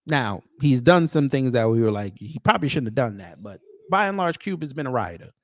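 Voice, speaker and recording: A man with an American accent hosting off-the-cuff online commentary in a sarcastic, confrontational manner with pointed pauses. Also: medium pitch, sing-song. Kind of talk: off-the-cuff opinion commentary, reading posts aloud.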